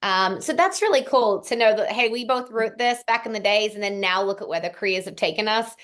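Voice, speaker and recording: A woman's voice: medium pitch, fairly quick, a bit bright. A young woman with an accent that mixes Australian and American, chatting at length as an interview guest, with frequent ums. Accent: mixed Australian and American. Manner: chatty, frequent ums, long run-on answers. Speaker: singer-songwriter guest. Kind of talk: casual interview chat.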